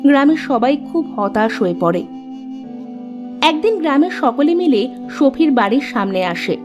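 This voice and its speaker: A woman narrates a scripted children's story with a high, very animated voice. Her storytelling is measured and expressive, with soft music underneath. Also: muffled recording.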